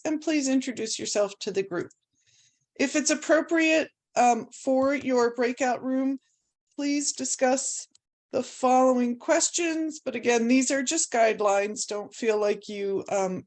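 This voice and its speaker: A woman organizing an online meeting, giving participants friendly instructions about breakout rooms. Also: sits high.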